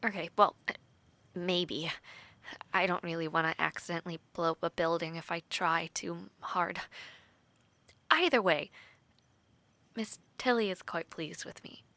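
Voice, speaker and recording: A woman voicing an earnest, affectionate young knight trainee in an intimate audio roleplay, talking softly, often half-whispered, with light laughs and hesitant restarts. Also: lowish voice, a little slow, long pauses, no echo. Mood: warm, reflective.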